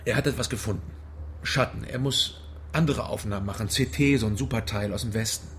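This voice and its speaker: An actor playing a haunted, hard-drinking East German private detective in a radio drama, halting, weary and brooding. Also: male voice, fairly low pitch, a bit bright, a little hiss or hum, lightly acted. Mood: anxious, defensive. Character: haunted male East Berlin private detective, ex-border soldier, heavy drinker. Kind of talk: radio drama dialogue and brooding first-person narration. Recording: music behind the voice.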